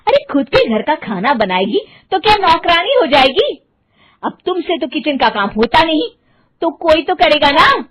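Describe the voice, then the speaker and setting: A woman's voice: sits high, big pitch swings, normal speed, a bit bright, pitched above the performer's own voice. A woman voicing a scheming, quarrelsome middle-aged village stepmother in a theatrical, scolding cartoon style. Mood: angry, shouting. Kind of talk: Hindi cartoon story voice acting.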